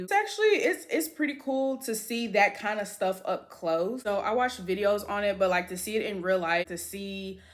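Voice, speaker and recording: A woman in her twenties talking casually to camera in an upbeat, chatty way, with lots of filler words. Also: medium pitch. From United States. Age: 20s. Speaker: beauty vlogger.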